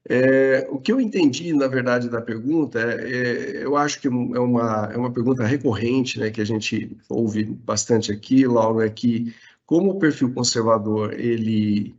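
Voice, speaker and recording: A man with a Brazilian Portuguese accent hosting an online Q&A, explaining in a measured way with frequent fillers. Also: medium pitch, darkish tone, lo-fi sound. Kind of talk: explanatory talk in a virtual Q&A. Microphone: video call.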